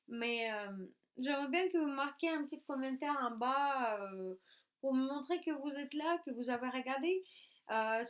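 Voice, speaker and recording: A woman in her thirties from Russia with a Russian accent, chatting casually to camera at home, hesitant with many fillers and restarts. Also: sits high. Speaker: YouTuber. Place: at home in her craft room.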